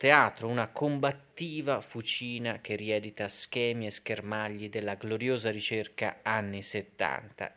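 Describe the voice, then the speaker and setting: A man hosting a radio programme, introducing it in a measured, explanatory way with hesitant pauses. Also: lowish voice, a bit bright, clean tone. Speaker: radio host.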